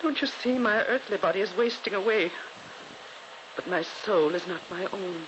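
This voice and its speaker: A woman's voice: mid-range voice, normal speed, natural voice. A woman playing a frail, delirious noblewoman in a fervent, pleading 1940s radio horror performance. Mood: despairing. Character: frail, delirious, doomed noblewoman twin sister. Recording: noisy, hissy 1940s radio recording.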